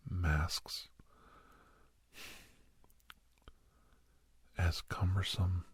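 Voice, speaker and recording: A man playing a doctor who counsels a young person in an ASMR roleplay, mostly whispering in a slow, breathy, reassuring but firm manner. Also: sits low, a little mellow, dry recording, full character voice. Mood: reassuring, frank. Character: male doctor gently counselling a young werewolf for college.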